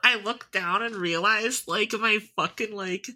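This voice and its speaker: A woman co-hosting a podcast, telling a story in an excited casual way. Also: mid-range voice, unhurried. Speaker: podcast co-host. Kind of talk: casual chat.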